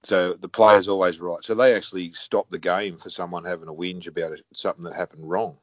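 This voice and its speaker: A man's voice: low voice, fairly even intonation, fairly quick, no echo, highs cut off. A man, a head referee talking casually in a wry, blunt way. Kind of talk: casual conversation.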